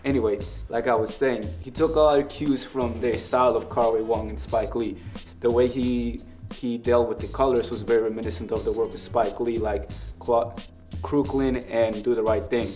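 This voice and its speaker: A man's voice: mid-range voice, steady tone, normal speed, darkish tone, faint music behind. A young man with a Mexican accent hosting a casual film review, earnest and analytical about the film he loves. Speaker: film review show host.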